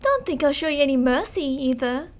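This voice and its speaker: A woman's voice: sits high, very animated, a little fast. A woman playing a sadistic, possessive, seductive vampire commander in an ASMR roleplay, speaking theatrically and tauntingly with terms of endearment. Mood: menacing. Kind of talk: ASMR roleplay, dramatic monologue.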